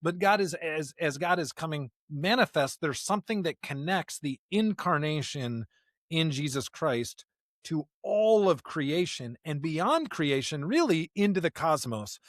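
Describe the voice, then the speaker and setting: Male podcast co-host, slightly high voice, close dry sound, emphatic and discursive on religious matters. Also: big pitch swings, unhurried, no room sound. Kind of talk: unscripted theological discussion.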